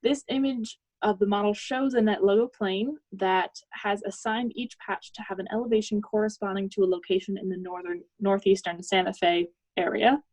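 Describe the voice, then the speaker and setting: Young woman, mid-pitched, student presenter. Measured technical explanation, recorded very dry without room sound. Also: steady tone, darkish tone, dry recording, rough recording.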